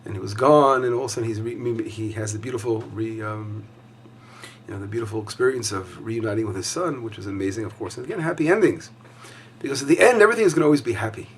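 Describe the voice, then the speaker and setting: A hoarse man teaching a weekly Torah lesson as a rabbi, talking conversationally with frequent fillers and restarts. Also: lowish voice, fast, a little hiss or hum.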